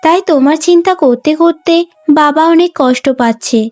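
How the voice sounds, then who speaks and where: A woman voicing a gentle young sister in a cartoon, speaking in an earnest, plaintive way. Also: sits high, moderate pace, close to an everyday voice. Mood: concerned. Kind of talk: Bengali cartoon voice acting.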